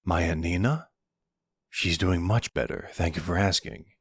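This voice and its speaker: Man playing a deep, smug young mafia boss. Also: a bit bright, slightly rough, no echo, studio quality, exaggerated voice. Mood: smug, playful. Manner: teasing, unhurried, smug. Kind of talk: ASMR boyfriend roleplay acting.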